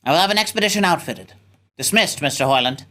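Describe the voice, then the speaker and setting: A man playing a measured, formal ship's captain who gives orders in a radio play reading. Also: on the high side, expressive, sharp tone. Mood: commanding. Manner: formal, authoritative. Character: measured, authoritative ship's captain weighing a risky mission. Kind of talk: radio play cold read.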